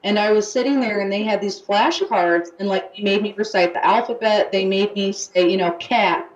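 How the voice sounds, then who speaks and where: A woman's voice: medium pitch, moderate pace. Casting: young American woman, chatty radio guest, roomy, slightly dull recording. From United States. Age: young adult. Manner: chatty, with fillers and restarts. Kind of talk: casual radio talk.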